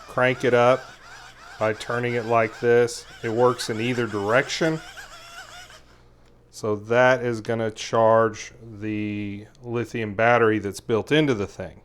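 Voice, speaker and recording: A man's voice: medium pitch, clean tone. A man giving a casual, methodical hands-on product review, explaining each step as he goes. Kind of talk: casual hands-on gadget demonstration.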